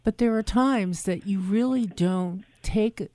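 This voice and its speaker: A woman's voice: mid-range voice, takes it slowly, warm tone, no echo. A woman host with a South Jersey accent, chatting casually as she interviews a guest. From South Jersey, near Philadelphia. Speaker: show host.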